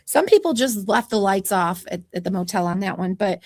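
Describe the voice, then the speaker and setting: A woman's voice: mid-range voice, expressive, fairly quick. A woman hosting a podcast interview, chatty and upbeat with a soothing voice and easy laughter. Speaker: podcast host.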